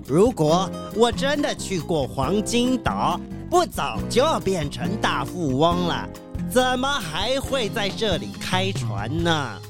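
An earnest cruise-yacht captain, explains himself slowly with strong rises and falls. It is a children's radio play, with prominent music behind him. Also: male voice, high-pitched, very animated, full character voice. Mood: puzzled, insistent. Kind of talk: children's mystery audio drama.

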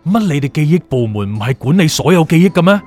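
A man plays a ruthless male prosecutor of souls in a Hong Kong Cantonese radio drama, over a music bed. His voice sits high for a man and swings dramatically as he commands and threatens like an official used to obedience. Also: very animated, fast talker, a little mellow. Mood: imperious, angry. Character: ruthless, domineering male soul prosecutor hunting fugitives.

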